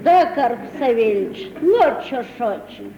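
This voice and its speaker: A woman's voice: fairly high pitch, sing-song, takes it slowly, muffled recording, crowd noise. A woman playing a coy, easily offended household servant with affected manners in an old Russian radio comedy. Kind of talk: 1950s Russian stage-comedy radio acting. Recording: echoey old radio recording, background commotion.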